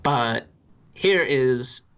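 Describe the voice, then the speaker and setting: A man's voice: medium pitch, expressive, fairly bright tone, clean tone. Dry, methodical man on a podcast. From Ireland. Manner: dry, deliberate, lays out reasoning step by step. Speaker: podcast co-host. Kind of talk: casual podcast chat.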